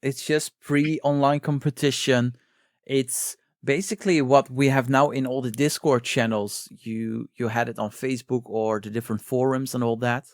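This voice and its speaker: A man's voice: medium pitch, fairly even intonation, moderate pace, no room sound, studio quality. A man hosting a podcast, chatting casually and appreciatively with his guest. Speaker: podcast host. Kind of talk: casual interview chat.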